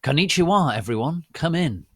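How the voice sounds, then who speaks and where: A man voicing a young female gothic witch teacher, brisk and foul-mouthed, in a dramatic story reading. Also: on the high side, expressive, slightly rough, no room sound, studio quality, pitched above the performer's own voice. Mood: friendly.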